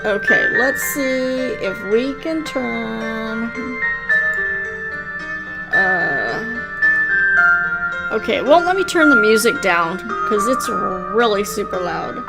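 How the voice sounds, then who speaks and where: Older female gaming host and story reader: high-pitched, slow, casual, with light music under the voice. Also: expressive, vocal fry, poor audio. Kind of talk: casual commentary and read-aloud narration of a visual novel.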